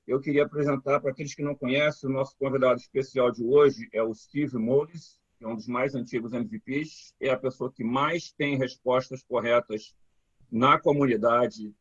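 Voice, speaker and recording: A man's voice: mid-range voice, normal speed, breathy edge, no room sound. A man hosting an online community meetup on a video call, stumbling over repeated words and checking in with "tá?". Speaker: community event host. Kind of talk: live online meetup talk. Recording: dull, dry call audio.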